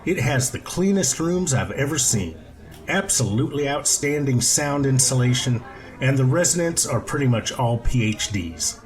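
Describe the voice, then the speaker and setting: A man acts out a happy extrovert from a story, chatting with strangers at a bar. The manner is chummy and joking, at an ordinary male pitch. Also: lively intonation, moderate pace, faint music behind, lightly acted.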